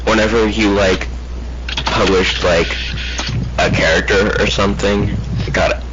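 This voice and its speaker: A man chatting casually during gameplay in a halting, rambling way, recorded on a muffled mic. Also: on the low side, fairly even intonation, over loud music. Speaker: gamer playing Roblox. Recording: muffled mic with clear background noise.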